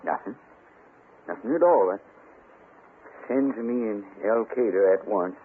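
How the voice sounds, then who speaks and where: A man playing a prickly, cowed small-town telegraph clerk in a radio western, fussy and halting. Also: on the low side, natural voice. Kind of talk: old-time radio western drama acting. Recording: muffled, hissy old radio broadcast recording.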